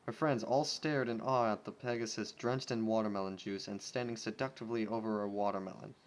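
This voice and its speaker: A man's voice: on the low side, fairly even intonation, a little fast. A man reading fan fiction casually, breaking off into exasperated, sarcastic asides.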